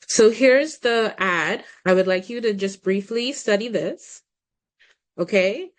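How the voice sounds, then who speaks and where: A young woman from the Bahamas presenting slides in a webinar over a video call, politely guiding the audience through a poll. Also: medium pitch.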